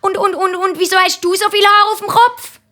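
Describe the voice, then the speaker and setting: Woman putting on a high, cheeky little Swiss kid. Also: flat delivery, fairly quick, sharp tone. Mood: curious, insistent. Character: cheeky, naive little schoolchildren in jokes.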